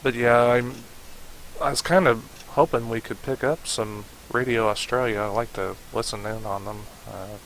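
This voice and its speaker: A man narrating a casual software tutorial, informally thinking aloud as he demonstrates, in a hissy home recording. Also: mid-range voice, moderate pace, rough recording. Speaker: tutorial host.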